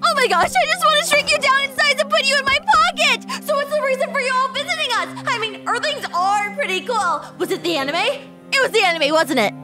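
Excitable, friendly cartoon girl, played by a woman: extremely high, very animated, gushing. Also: normal speed, faint music behind, full character voice. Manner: gushing, perky. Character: bubbly, excitable, friendly geeky human girl. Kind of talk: animated comedy dialogue.

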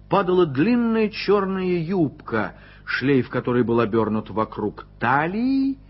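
A man narrating a radio play in an expressive storytelling manner. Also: medium pitch, slightly rough. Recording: dull lo-fi old radio recording.

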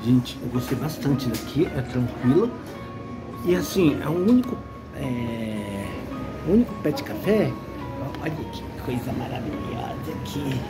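A man's voice: mid-range voice, very animated, measured pace. Older brazilian guy filming himself in a cat cafe, chatty, music playing behind. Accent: Brazilian Portuguese. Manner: chatty, easily delighted, talks to viewers. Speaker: vlogger. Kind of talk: casual vlog chat. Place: cat café indoors. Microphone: phone held at arm's length. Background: background music.

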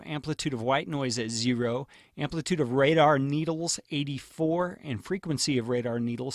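A man hosts a casual how-to tutorial in a mid-pitched voice. He explains each step plainly and informally. The recording is close and dry, with no room sound. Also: no room sound. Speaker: tutorial host. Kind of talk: casual screen-recording software tutorial narration.

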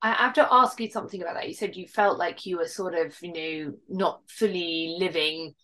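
A woman's voice: fairly low pitch, normal speed, bright, forceful tone. A middle-aged woman hosting an interview over a video call from her living room, affirming her guest often. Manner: supportive, frequent short affirmations. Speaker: host. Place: living room with brick wall. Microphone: video call laptop mic.

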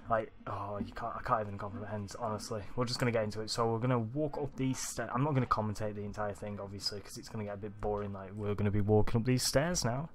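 A young man with a Manchester accent, rambling excitedly over a video game, his morning voice groggy and deep. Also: fast, hardly any pauses. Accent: Manchester English. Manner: rambling, excitable, swears often. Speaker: let's play gamer. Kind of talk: casual gameplay commentary.

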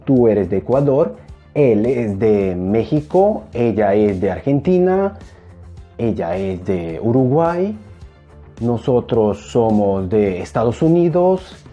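A young man teaching a language lesson to camera in an emphatic, animated manner, repeating phrases. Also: medium pitch, slow, lo-fi sound, soft background music. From Peru. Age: young adult. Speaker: Spanish teacher. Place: small indoor room, plain wall. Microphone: clip-on lapel mic.

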